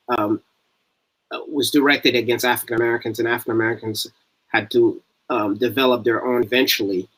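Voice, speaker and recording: Male academic panelist, mid-range voice, careful and halting, building each point in measured phrases. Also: medium pitch, a bit bright, poor audio. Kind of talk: spoken panel discussion, scholarly explanation.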